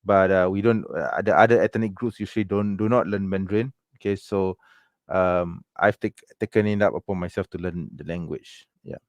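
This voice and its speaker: A man's voice: low voice, steady tone, moderate pace, dry recording, dull audio. A man hosting a livestream Q&A, chatting casually and filling gaps with "okay" and "yeah". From Malaysia. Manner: many affirming fillers, frequent "okay". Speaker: livestream host. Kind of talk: casual chat.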